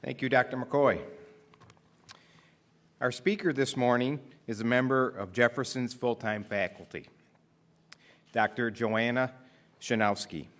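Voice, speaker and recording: A man's voice: mid-range voice, unhurried, pauses often, a bit bright. A man at a podium microphone formally introducing the keynote speaker at a ceremony, reading steadily.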